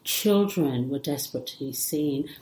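Female interview guest, middle-aged, raised in England, earnest with lots of fillers, dark tone. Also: low-pitched, expressive, a little slow, reverberant, low-quality recording. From born in Africa, raised in England. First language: non-English first language. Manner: earnest, flowing, many "you know" fillers. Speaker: guest, psychologist and mentor. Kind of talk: reflective interview answers.

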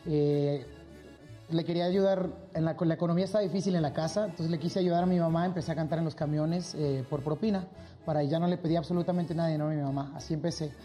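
A man's voice: a little high, fairly even intonation, quick pace, warm tone, soft background music. A Mexican man with a Mexican accent, a guest singer chatting upbeat in an interview and repeating phrases for emphasis. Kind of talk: casual interview chat.

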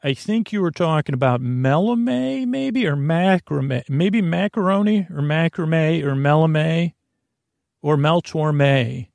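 A man voicing a barely attentive podcast listener, creaky, hesitant and noncommittal, musing aloud. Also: fairly high pitch, slow pace, a little mellow, no echo. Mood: vague, musing. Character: vague, barely attentive regular podcast listener over breakfast.

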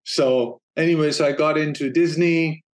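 A middle-aged man from Denmark, a guest in an interview, telling his career story in a relaxed way, laughing easily and repeating words as he thinks. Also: a little high, normal speed, rough recording. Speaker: guest, streaming operations manager.

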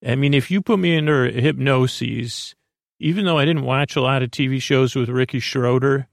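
A man hosting a bedtime podcast in a lulling, creaky voice, rambling haltingly through digressions and interrupting himself. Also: medium pitch, no echo, clean studio sound. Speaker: podcast host.

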